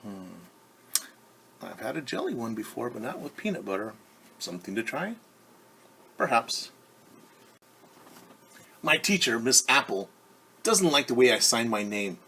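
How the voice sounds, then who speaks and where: A man reading a picture book aloud as a teacher, in a story time style, pausing to explain and wonder aloud for young listeners. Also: medium pitch, very animated, creaky, sharp tone, slight background noise. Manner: stumbles over and corrects words when reading Spanish. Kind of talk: bilingual story time with commentary.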